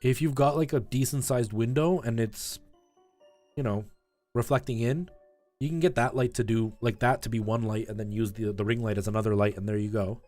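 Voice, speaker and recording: A man hosts a live game stream in an informal, conversational way. His voice sits at an average male pitch, and he rambles through tips and asides for his viewers. Music plays behind him. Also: fairly quick, a little mellow. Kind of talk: casual live stream chat while playing a retro game, giving tips to chat.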